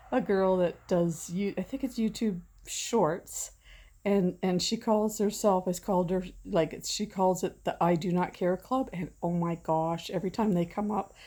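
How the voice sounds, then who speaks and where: A woman in her sixties chatting casually from behind a camera at home, rambling and self-deprecating, her voice going hoarse. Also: on the low side, measured pace, warm tone. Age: 60s. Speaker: vlogger. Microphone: camera mic, speaking from behind the camera.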